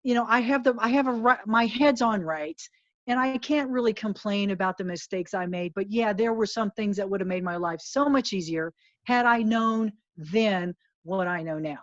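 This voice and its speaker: A woman's voice: mid-range voice, moderate pace, no room sound. A woman guest in an interview, speaking in an earnest, emphatic and motivational way. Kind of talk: candid interview talk. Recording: dull lo-fi dry sound.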